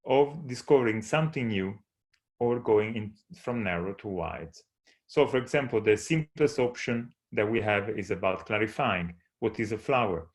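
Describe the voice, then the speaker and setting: Italian-accented man giving a talk. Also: on the low side. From Italy. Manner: earnest, explanatory, with occasional restarts. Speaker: speaker. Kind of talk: conference talk with slides.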